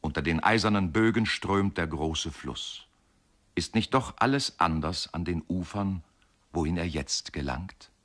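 Radio-play narrator: reflective man, low register, measured and expressive literary storytelling. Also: heavily acted. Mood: reflective, wondering. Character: reflective male narrator recalling a riverside boyhood.

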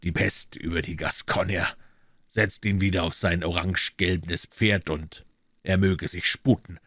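A man voicing a cold, scornful middle-aged nobleman who speaks quietly and with contempt. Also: deep voice, steady tone, unhurried, husky, no echo, studio quality, heavily acted. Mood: cool scorn.

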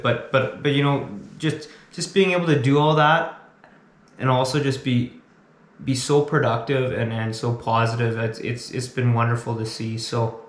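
A man's voice: mid-range voice, reverberant. A man explaining ski racing drills as a coach, casually restarting and repeating words and tagging lines with "right?". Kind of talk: casual instructional talk to camera.

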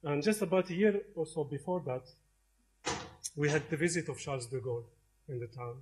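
A man's voice: fairly high pitch, fairly quick, noisy background. A middle-aged man from Algeria giving an academic lecture in a lecture hall, talking conversationally with frequent hesitations and restarts.

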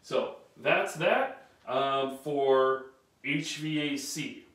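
A man's voice: mid-range voice, very slow, a bit bright. Emphatic man training staff, roomy. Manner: emphatic, direct, gestures while standing. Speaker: sales and service trainer. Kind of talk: training talk at a whiteboard. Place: small carpeted room, indoors. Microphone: camera a few feet away. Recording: echoey room, camera mic at distance.

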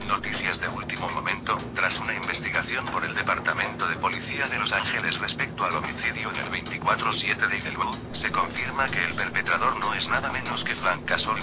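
A sober news announcer voiced by a man delivers an impersonal emergency bulletin. The voice is fairly low and formal, with loud music underneath. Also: close to an everyday voice. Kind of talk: scripted breaking news bulletin.

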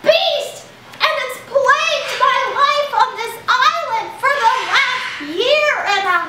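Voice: very high voice, pushed and bright, echoey room, a little hiss or hum, heavily acted. A performer voicing a dramatic, easily frightened little girl puppet in a children's church skit. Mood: frantic. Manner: breathless, dramatic, quick to panic.

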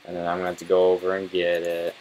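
Deep-voiced young man doing game commentary. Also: monotone, quick pace, background noise. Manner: casual swearing. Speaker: let's play host and player. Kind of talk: casual gaming commentary.

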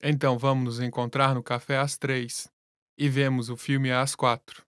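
A man reading scripted lesson dialogue in a plain, relaxed way. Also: mid-range voice, moderate pace, smooth, no room sound, clean studio sound. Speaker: language lesson voice actor.

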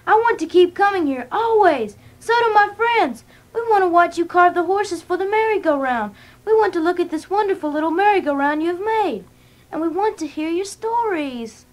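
Woman playing a high-pitched, eager little boy. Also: animated, normal speed. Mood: upset, pleading. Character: young boy Eric, eager, earnest, hot-tempered. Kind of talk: children's story drama with songs.

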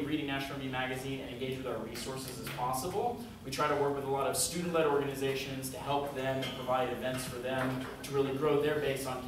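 A young man rattles through his opening remarks at a quick clip, upbeat and leaning on fillers. He is picked up from far across a classroom, with heavy echo and a steady hiss. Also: medium pitch. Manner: brisk, upbeat, filler-laden. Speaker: outreach officer. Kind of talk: introductory remarks. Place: university classroom. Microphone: far camera.

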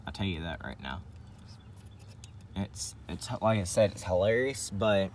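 A man's voice: deep voice, animated, measured pace. A man giving a casual hands-on walkthrough in a rambling, hesitant way, often repeating his phrases. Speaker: vlogger showing a CB radio setup in a truck. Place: in and around a parked truck. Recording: noisy handheld recording with vehicle ambience.

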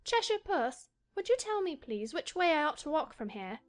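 A woman's voice: high voice, sing-song, normal speed, faint music behind. A woman playing a curious, polite young girl in a dramatized storybook audiobook, full of questions.

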